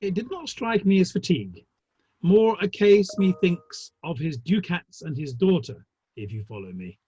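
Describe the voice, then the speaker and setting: A man playing a breezy, flippant Victorian literary gentleman with an arch, old-fashioned turn of phrase, in a play reading. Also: sits high, animated, normal speed, lo-fi sound. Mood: flippant, soothing.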